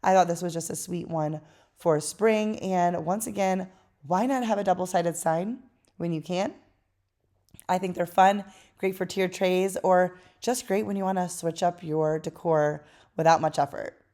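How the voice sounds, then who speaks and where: A woman hosting a craft channel, chatting casually through a voice-over tutorial in a tired, hoarse voice. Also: on the low side, measured pace. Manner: chatty and relaxed, with fillers.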